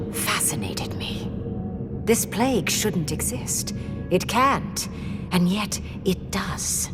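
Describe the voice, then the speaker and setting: Haughty lady archmage for a game, lowish voice, slow and deliberate, really swoopy pitch. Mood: grave, fascinated. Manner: measured, aloof, deliberate. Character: coldly pragmatic, intensely curious, haughty female archmage. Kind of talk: video game voice acting.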